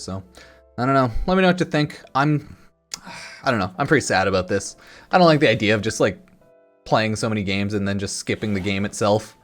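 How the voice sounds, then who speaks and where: A man giving casual, rambling commentary as a gaming YouTuber, informal and noncommittal, with some mild swearing. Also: medium pitch. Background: music in the background.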